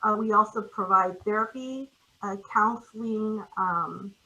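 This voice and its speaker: Bright, hesitant woman on lo-fi audio. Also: mid-range voice, a little slow. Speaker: community organization representative. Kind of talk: informal spoken presentation. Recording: dull, lo-fi video call audio.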